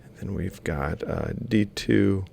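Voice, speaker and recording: Very creaky, low-voiced man lecturing. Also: slow, darkish tone, slight background noise. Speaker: university robotics lecturer. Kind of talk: informal step-by-step worked-example lecture. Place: screen-recorded lecture voice-over.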